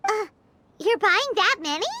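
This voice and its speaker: A woman voicing a tiny, cheeky, helpful fairy girl in an anime game, squeaky and childlike, speaking of herself in the third person. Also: lively intonation, unhurried, bright, forceful tone, no echo, full character voice. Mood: puzzled, teasing. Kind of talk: English anime game voice acting.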